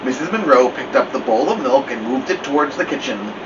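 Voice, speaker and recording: A man reading a children's chapter book aloud in an expressive storytelling style. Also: medium pitch, a little fast. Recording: dull, distant mic, steady air-conditioning-like noise.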